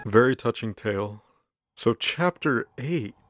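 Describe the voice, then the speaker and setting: A man co-hosting a casual comedic story recap, narrating playfully with a creaky voice and slipping into dialogue. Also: medium pitch, expressive, unhurried, a bit bright, faint music behind.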